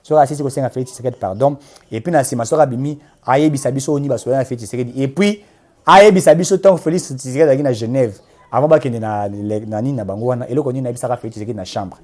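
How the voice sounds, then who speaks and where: A man's voice: medium pitch, very fast, a little mellow. A man with a Congolese accent speaking as a political activist in an interview, emphatic and impassioned, repeating points and switching between two languages.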